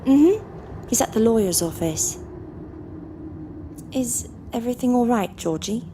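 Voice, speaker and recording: An actress playing a patient, composed older sister, measured and placating, in naturalistic audio drama dialogue. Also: female voice, fairly high pitch, animated. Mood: calm, concerned. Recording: clearly noisy background.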